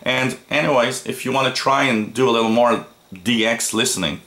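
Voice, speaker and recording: A man's voice: mid-range voice, normal speed, pushed and bright. A man presenting an informal hands-on product review, explaining step by step with hesitations and repeated phrases.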